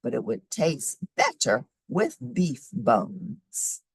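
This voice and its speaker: Woman playing a wily, coaxing young wanderer, husky. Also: extremely low pitch, huge pitch swings, very slow, dry recording, exaggerated voice. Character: hungry, wily, polite young man wanderer.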